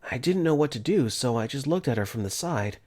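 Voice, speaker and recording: Brief: male narrator-lead, lively pitch, earnest and flustered, first-person visual novel dub. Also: brisk.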